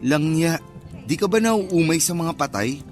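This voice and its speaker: Jumpy superstitious young cousin in radio drama, slangy, bit high pitched, music under him. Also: male voice, lively intonation, unhurried. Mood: casual. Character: superstitious, easily spooked young construction-worker cousin. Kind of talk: radio drama dialogue, casual banter.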